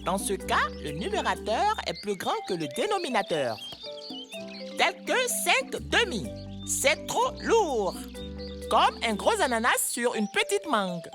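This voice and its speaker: Animated, high-pitched motherly cartoon teacher. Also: a little slow, exaggerated voice. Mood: explaining. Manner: emphatic, didactic. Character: bossy motherly female bird teacher, brisk and scolding. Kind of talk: dubbed children's educational cartoon. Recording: music under the dialogue.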